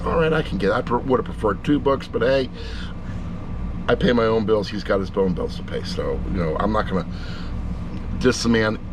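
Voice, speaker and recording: A man's voice: lowish voice, noisy background. A middle-aged man giving a casual, rambling review, with blunt, jokey asides. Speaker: audiobook reviewer.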